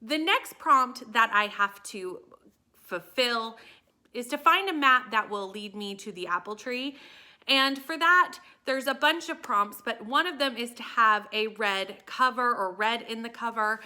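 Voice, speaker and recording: A woman's voice: fairly high pitch, animated, measured pace, bright, forceful tone. A woman talking casually and enthusiastically to camera as a book vlogger, with emphatic delivery.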